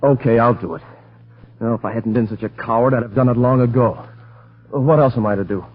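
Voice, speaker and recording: A man playing a nervous, excitable warehouse owner with an agitated manner in 1930s radio drama style. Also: medium pitch, a little fast, husky, faint music behind, lightly acted. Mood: excited, determined. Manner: stammering, agitated. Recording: muffled old radio recording.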